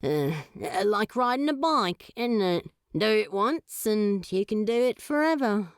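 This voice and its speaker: A woman's voice: mid-range voice, lively intonation, unhurried, clean tone, no echo, clean studio sound. A woman voicing a sardonic, grumpy male demon who swears casually and brushes off thanks. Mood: wry. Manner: brash, dismissive, casual swearing.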